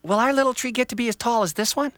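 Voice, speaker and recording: A man's voice: extremely high pitch, fast, no echo, voice pushed up. A man doing an eager little kid. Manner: excited, pleading. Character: eager little child in a family.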